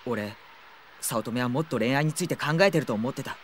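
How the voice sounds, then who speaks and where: A man's voice: mid-range voice, a little fast, a little hiss or hum, close to an everyday voice. A man playing a blunt, wisecracking teenage schoolboy in an audio drama, speaking casually and expressively. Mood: earnest. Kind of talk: Japanese audio drama acting.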